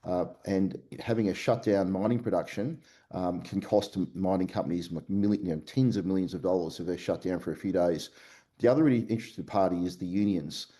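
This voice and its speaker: A man with an Australian accent, a company CEO answering podcast interview questions remotely, halting often with fillers and restarts. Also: low voice, dark tone. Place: remote call from Melbourne.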